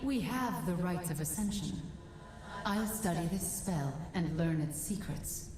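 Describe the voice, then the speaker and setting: An actress playing a solemn mystic guide in a video game, speaking in a measured, deliberate way. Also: female voice, lowish voice, animated, background noise. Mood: grave. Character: solemn mystic woman guide advising a warrior. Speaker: guide character. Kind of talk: video game dialogue, instructing. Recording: roomy echo, music underneath. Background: game music.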